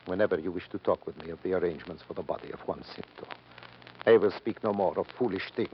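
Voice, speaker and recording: A man acts as a haughty, formal Spanish gentleman in vintage radio drama. His voice is deep and accented, courteous yet scornful. The sound is muffled with faint hiss. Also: fast, lightly acted. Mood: cold disdain. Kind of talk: 1950s radio drama acting. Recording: muffled, noisy old radio recording.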